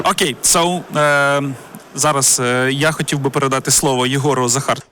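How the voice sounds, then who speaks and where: A man's voice: medium pitch, pushed and bright, slight background noise. A man with a Ukrainian accent moderating a conference panel, speaking informally with casual filler words.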